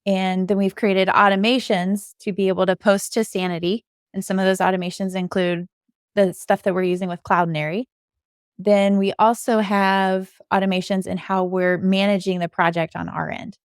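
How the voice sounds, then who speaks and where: A woman's voice: medium pitch, level tone, normal speed, clear, resonant tone, no room sound, clean studio sound. A woman, a podcast co-host, giving a conversational technical explanation as a guest.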